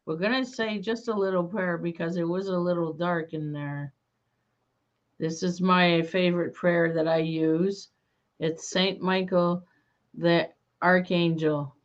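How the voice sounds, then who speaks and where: Slow, low-voiced woman, calm host. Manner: slow, calm, soothing delivery. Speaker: paranormal investigator host. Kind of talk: live-stream ghost hunt chat. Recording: dull lo-fi stream audio.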